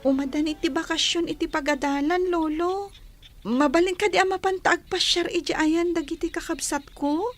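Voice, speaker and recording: A woman's voice: very high-pitched, steady tone, moderate pace, faint music behind. A woman playing a lonely, dutiful schoolgirl in a radio drama, with an Ilocano Filipino accent. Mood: hopeful. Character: lonely, dutiful schoolgirl granddaughter longing for family.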